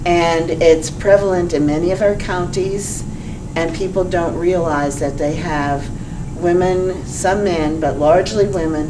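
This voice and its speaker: A woman's voice: on the low side, recorded from a distance, noisy background. A woman speaking earnestly and with emphasis as a guest on a talk show.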